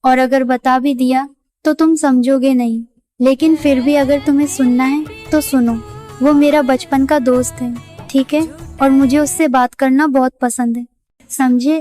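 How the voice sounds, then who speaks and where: A woman's voice: high-pitched, fairly even intonation, a little slow, dark tone. A young woman playing a defensive, exasperated girlfriend in a dramatized breakup talk. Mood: weary, insistent. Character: defensive, irritated young girlfriend insisting on her love. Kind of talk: dramatized breakup phone conversation in Hindi. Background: background music.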